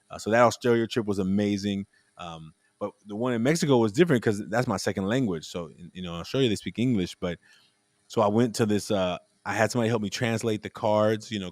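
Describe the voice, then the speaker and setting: A man with a somewhat low voice hosts a relaxed conversation and tells a long story in a loose, rambling way, often restarting his sentences. The sound is close and dry, with no room around it. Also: no room sound. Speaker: podcast host. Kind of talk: casual conversational interview.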